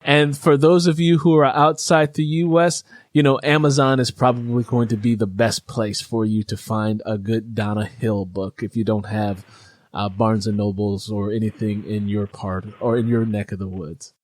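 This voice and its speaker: A man's voice: lowish voice, level tone, moderate pace, no room sound. A man hosting an interview show in a conversational style.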